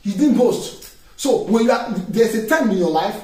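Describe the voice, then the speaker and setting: High-voiced preaching man, echoey hall. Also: animated, moderate pace, darkish tone. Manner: emphatic preaching with call-and-response questions. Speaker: pastor. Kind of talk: sermon. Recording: echoey room, distant mic, faint noise.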